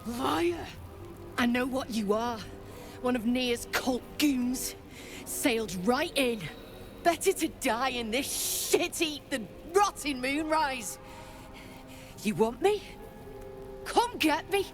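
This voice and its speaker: A woman's voice: sits high, animated, slow, long pauses, faint music behind, heavily acted. A woman playing a defiant, foul-mouthed gnome freedom fighter in a video game, blunt, combative and cynical. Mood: defiant, angry.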